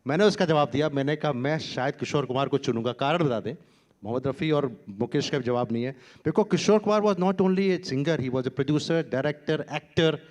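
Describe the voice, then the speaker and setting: Bright-voiced chatty male doctor. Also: fairly high pitch, normal speed. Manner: chatty, mixes Hindi and English, laughs often. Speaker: doctor on a panel. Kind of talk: storytelling anecdotes with laughter. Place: conference stage.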